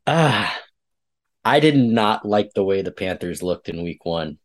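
A man's voice: fairly low pitch, lively intonation, a little slow. A man co-hosting a casual sports talk show, chatty and full of fillers as he analyzes a game. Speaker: podcast co-host.

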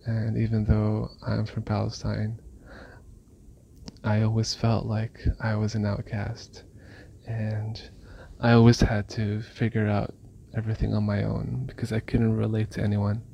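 A man raised in Malaysia recording a calm, reflective voice memo on his phone. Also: on the low side, measured pace, creaky, highs cut off, a little hiss or hum. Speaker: listener sending a voice memo. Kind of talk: casual voice memo.

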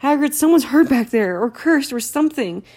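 A woman's voice: mid-range voice, moderate pace, mellow, natural voice. A woman voicing a brave teenage boy wizard who speaks urgently with a raised voice, in a storybook reading.

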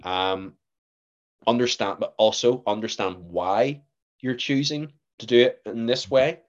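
A man in his twenties with a Northern Irish accent, an actor chatting as a podcast guest, lively and acting out what others said to him. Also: mid-range voice, a little slow, dull audio. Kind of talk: casual interview chat.

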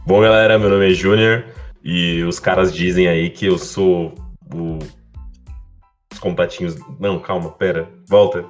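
A man's voice: deep voice, fairly even intonation, measured pace, soft background music. A young man with a Brazilian accent, chatting in casual slang as a podcast co-host.

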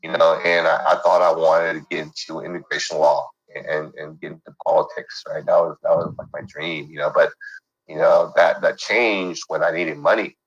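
A man's voice: extremely low pitch, little pitch movement, moderate pace, husky, highs cut off. A middle-aged man from San Francisco, talking casually as an interview guest and rambling with lots of fillers and restarts. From San Francisco, United States.

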